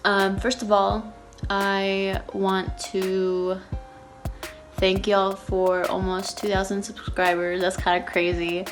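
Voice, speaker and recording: A woman's voice: mid-range voice, level tone, takes it slowly, over music. A nineteen-year-old woman from Texas chatting casually in a Q&A vlog, rambling with lots of fillers and restarts. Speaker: YouTuber.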